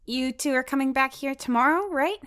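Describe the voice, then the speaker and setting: A woman's voice: high-pitched, a little fast, a bit bright, pitched above the performer's own voice. A woman voicing a shy, earnest teenage schoolgirl in a story. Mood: nervously firm. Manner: earnest, a little nervous. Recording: music behind the voice.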